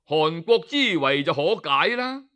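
A man voicing a shrewd, calm male military strategist in a traditional storytelling recording, speaking in a measured, deliberate way. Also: fairly high pitch, pitched below the performer's own voice, heavily acted. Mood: scheming.

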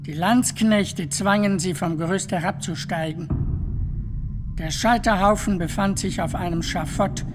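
Actor as earnest friar witness, radio play, deliberate formal delivery, very slow pace, music underneath. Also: medium pitch, lightly acted. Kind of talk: measured radio play testimony.

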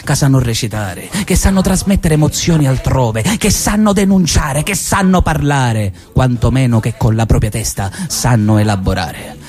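A man with a Sicilian accent performing a satirical theatrical monologue, mocking and swinging between a sing-song lilt and sudden shouts. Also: mid-range voice, lively intonation, normal speed, hardly any pauses, faint music behind. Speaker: actor in a one-man radio show. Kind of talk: satirical theatrical monologue mimicking a radio host.

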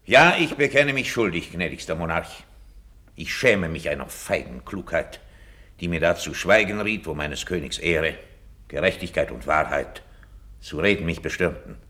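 An actor playing a cold, scheming duke in a 1950s German radio drama, measured, formal and insinuating. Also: male voice, low voice, expressive, pushed and bright. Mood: solemn, confessing. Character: cold, calculating male duke, scheming courtier.

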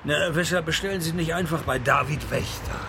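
A man playing a dry, sardonic private detective in a radio play, deadpan and laconic. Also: a little high, brisk, gravelly, noisy background. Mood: dry, probing. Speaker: lead actor and first-person narrator of a radio play. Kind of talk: radio drama dialogue and scripted first-person narration.

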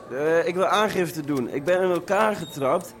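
A man playing a timid, shaken young squatter with slangy talk in a radio drama. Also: a little high.

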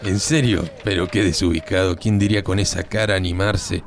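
A man's voice: sits low, steady tone, brisk, gravelly, soft background music. A man playing a sneering young Argentine sidekick to a bully in a radio drama.

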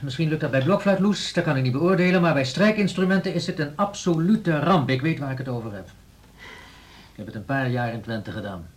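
Actor as blunt, stubborn music teacher. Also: male voice, a little high, brisk, lightly acted. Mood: adamant. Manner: blunt, dismissive. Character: stubborn, sceptical male string teacher. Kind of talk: radio drama acting.

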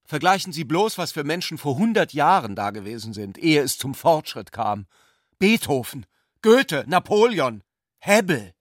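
Guy doing a scornful young student idealist, raised pitch, declaiming, radio drama, dry sound. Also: big pitch swings, normal speed, no room sound. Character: haughty, combative young male student idealist.

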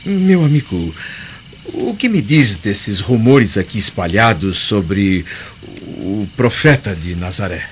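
Proud roman senator, troubled dad, formal dramatic radio play voice, muffled noisy audio. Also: male voice, lowish voice, animated, takes it slowly. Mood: anguished. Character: proud Roman senator, anguished father of a sick girl.

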